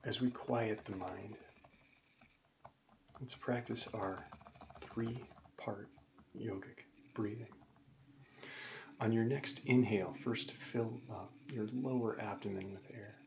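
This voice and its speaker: Male meditation teacher, dull lo-fi recording, faint background noise, calm, gentle, measured, pausing often. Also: on the low side, gravelly. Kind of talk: guided meditation instruction.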